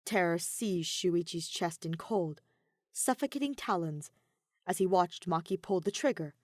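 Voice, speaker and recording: A woman's voice: lowish voice, animated, measured pace, no room sound, studio quality. A woman reading scripted fiction as an audiobook narrator in a dramatic style.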